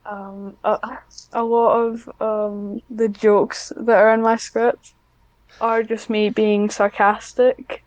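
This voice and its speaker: A woman's voice: fairly high pitch, flat delivery, slow pace, no room sound, dull audio. A woman chatting casually as a podcast guest, hesitant and self-deprecating, with a dry sarcastic streak. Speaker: podcast guest, ASMR script writer. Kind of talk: casual interview chat.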